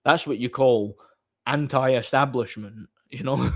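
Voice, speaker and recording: A man hosting a livestream, chatting casually and off the cuff in a blunt, opinionated, somewhat halting way. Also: medium pitch. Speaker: livestream host. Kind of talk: casual off-the-cuff chat, answering viewer comments.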